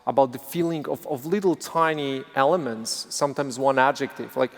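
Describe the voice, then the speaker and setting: A man with a Polish accent, a native Polish speaker, answering an audience question on a game panel in an earnest, halting way. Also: mid-range voice. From Poland. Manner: earnest, halting, repeats words. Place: large convention hall. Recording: convention hall reverb.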